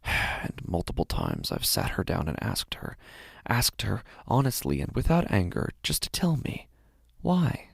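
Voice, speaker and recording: Man playing a creaky-voiced, anxious, obsessive father. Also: lowish voice, wildly swooping pitch, no echo, studio quality, exaggerated voice. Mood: troubled, strained. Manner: hesitant, halting, intense, sighing. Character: devoted, anxious, obsessive father of a young daughter. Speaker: narrator of a horror monologue. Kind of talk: dramatic first-person horror audio drama monologue.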